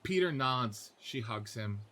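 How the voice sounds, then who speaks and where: A man's voice: mid-range voice, animated, slow pace, sharp tone. A man narrating screenplay scene directions as a podcast host and reader. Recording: faint background noise.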